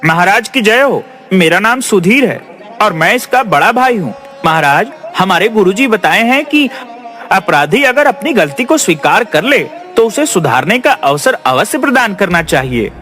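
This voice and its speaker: A man's voice: high-pitched, very animated, normal speed, pushed and bright, heavily acted. A man voicing a sensible young villager in a cartoon story, respectful and earnest. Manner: respectful, reasoning. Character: earnest, sensible village youth addressing a king. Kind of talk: cartoon moral story acting. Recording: music behind.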